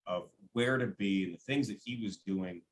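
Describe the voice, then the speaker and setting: A man who works as a sports announcer, giving conversational analysis as a podcast guest in a measured, explanatory way. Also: low voice, a little hiss or hum, rough recording.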